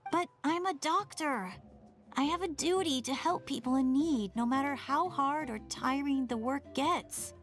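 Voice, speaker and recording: A woman's voice: high-pitched, a little slow, a bit bright, soft background music. A woman voicing an earnest, stubborn young female doctor in an anime-style video game. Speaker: game character voice actor.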